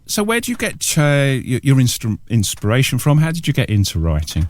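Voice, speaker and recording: An older man hosting a radio interview in a small studio on a boom mic, chatty and halting with many fillers. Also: wildly swooping pitch, darkish tone. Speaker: radio host. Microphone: studio boom mic with headphones.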